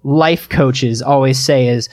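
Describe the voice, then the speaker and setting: A man co-hosts a casual podcast in a mid-pitched voice. He explains things in a stop-and-start way, doubling back on his phrases as he tells a story. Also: slow pace, a little mellow. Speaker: podcast co-host.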